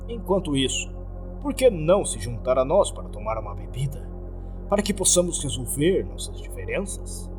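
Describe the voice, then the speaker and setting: A man voicing a smug, menacing male cult leader in a horror story, with a slow, pompous, deliberate delivery. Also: on the high side, sing-song, music in the background. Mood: mocking, falsely cordial.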